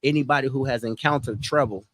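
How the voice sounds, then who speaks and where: A man in his thirties, a singer, telling his story in a relaxed, casual way and often adding "you know what I'm saying". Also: medium pitch, quick pace, no echo. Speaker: R&B singer, podcast guest. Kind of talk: casual interview chat.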